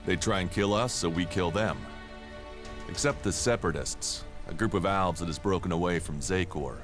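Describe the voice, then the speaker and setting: An actor playing a friendly, watchful warrior guide in a video game, advising in a plain-spoken way. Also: male voice, deep voice, normal speed, music in the background, close to an everyday voice. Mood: advising, cautionary.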